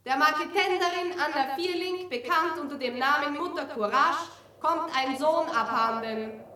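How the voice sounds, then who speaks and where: High, bright, echoing female narrator. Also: normal speed. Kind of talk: scene-setting narration in a radio drama. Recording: distant, echoing 1970s radio production.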